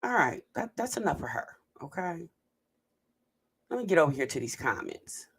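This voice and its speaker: A woman's voice: fairly low pitch, very animated, normal speed, breathy edge. A woman hosting a casual reaction video, talking straight to her viewers and stretching words out for emphasis while she reads the live chat. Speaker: host of a reaction commentary channel.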